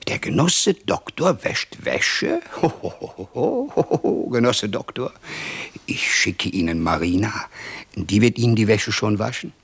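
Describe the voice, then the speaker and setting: An actor playing a gruff but jovial, teasing caretaker and father in a German radio play, with a hearty, folksy, grumbling manner. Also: fairly low pitch, big pitch swings, unhurried, full character voice. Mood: booming, amused.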